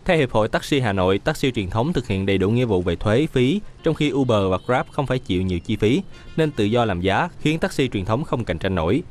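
A man reading scripted news voice-over in a brisk, even newsreader delivery. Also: mid-range voice.

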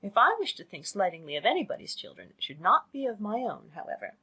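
A woman voicing a fussy, excitable middle-aged mother in a storybook, gushing and emphatic. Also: medium pitch, fast, husky, voice pushed up, full character voice. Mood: indignant.

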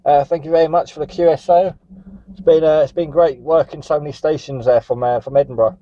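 A man's voice: a little high, fairly even intonation, fairly quick, dark tone, a little hiss or hum. A man chatting and calling for contacts as a CB radio operator. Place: static vehicle on a seafront headland. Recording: dull lo-fi CB radio recording.

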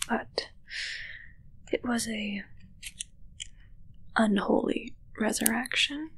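A young woman playing a self-assured, darkly matter-of-fact witch in a hushed, musing ASMR roleplay, close to the mic. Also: on the high side, gravelly, breathy edge, heavily acted, low-quality recording. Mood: self-assured, confiding. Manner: slow, musing, hushed, close personal attention. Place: small dark curtained indoor set.

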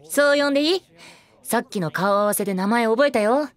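A man's voice: very high voice, brisk. An actor playing a cheeky, cute teenage boy idol in an audio drama, boyish and playful. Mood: bright, friendly. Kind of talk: Japanese audio drama acting.

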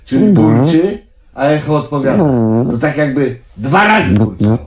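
A man's voice: mid-range voice, big pitch swings, normal speed, over a noisy crowd, close to an everyday voice. An actor in a comedy radio play playing an irritable, absurd-minded man, blunt, exasperated and comically literal. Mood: bemused complaining.

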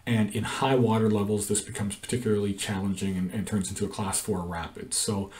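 A man's voice: lowish voice, little pitch movement, brisk, a little mellow, far from the mic. A middle-aged man explains a canoe route over a shared screen, talking in a hesitant way with many ums and uhs. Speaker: canoe trip guide. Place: indoor room.

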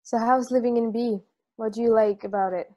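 A woman's voice: a little high, steady tone, brisk, warm tone, dull audio, natural voice. A young woman playing a casual, guarded traveler chatting at a bar, in an online table read of a stage play. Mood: casual, curious. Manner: casual, plainspoken. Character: plainspoken, guarded female traveler, easygoing bar customer. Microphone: video call microphone.

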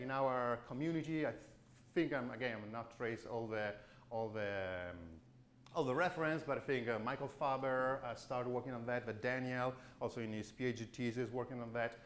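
A man in his forties giving a conference keynote lecture, halting with many fillers and restarts, in a chalkboard lecture room. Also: medium pitch, reverberant. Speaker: keynote speaker.

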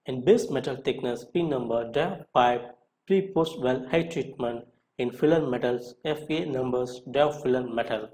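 A man narrating a scripted question-and-answer lesson as an instructor, reading out each question and short answer deliberately. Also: medium pitch, darkish tone, poor audio.

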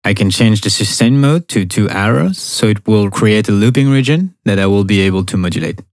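A man's voice: on the low side, fairly quick, no room sound. A young adult man giving scripted tutorial narration as a sound designer, recorded in a small home studio.

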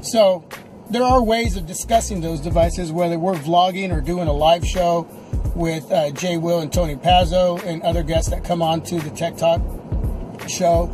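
This is a middle-aged man with a notably high voice, talking casually to his viewers as he drives. He thinks aloud in halting, unscripted stretches. He is recorded inside a moving car, with music clearly audible behind him. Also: fairly even intonation. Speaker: tech vlogger. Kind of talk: casual unscripted vlog chat. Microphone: camera or phone on the dashboard.

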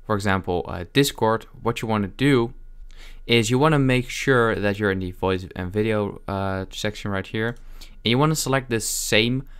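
A man giving a casual software tutorial in a halting, improvised way. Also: lowish voice, clean tone. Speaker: tutorial host.

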